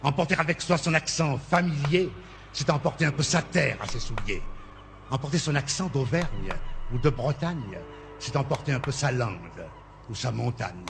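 A man with a gravelly voice recites lyrical lines, then sings, in a song recording. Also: mid-range voice, normal speed. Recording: song with music behind. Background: music.

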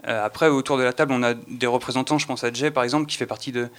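Smooth-voiced man, halting panel talk. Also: mid-range voice, steady tone, a bit bright. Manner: hesitant, halting phrasing. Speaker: audio fiction creator, guest. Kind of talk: informal panel discussion. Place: radio-style panel recording.

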